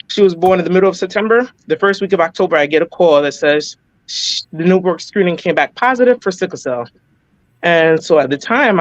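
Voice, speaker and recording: A woman's voice: on the low side, dry recording. A woman guest casually telling her personal story over a lo-fi internet call. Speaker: guest, parent of a child with sickle cell. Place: remote video call. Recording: dull, lo-fi internet call audio.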